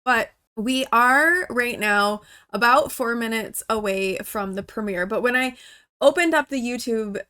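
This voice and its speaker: A woman's voice: on the high side, a little slow, pushed and bright, clean tone. A woman YouTuber, still congested from a cold, chatting casually as she reacts to a game trailer. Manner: chatty, with many "like" fillers.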